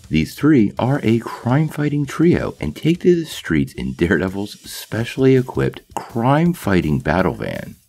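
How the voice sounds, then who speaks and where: A man with a low, gravelly, slightly husky voice narrates a scripted video with eager, playful energy. His pitch swings widely as he tells the story. Faint music sits beneath his voice. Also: a little mellow. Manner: enthusiastic storyteller with playful asides. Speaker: host of a comic nostalgia channel.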